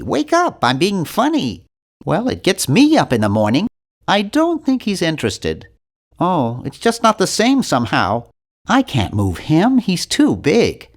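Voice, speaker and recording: A man's voice: a little high, exaggerated voice. A man voicing an earnest, timid young male nerd in an adventure video game, with a precise, fussy delivery. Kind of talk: animated adventure game voice acting.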